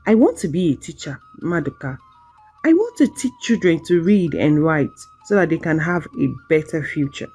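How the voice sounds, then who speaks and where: A woman storyteller voicing a kind, devoted young village woman in love, gentle and sincere. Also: low-pitched, big pitch swings, normal speed, slightly rough, faint music behind, pitched below the performer's own voice, full character voice. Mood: hopeful.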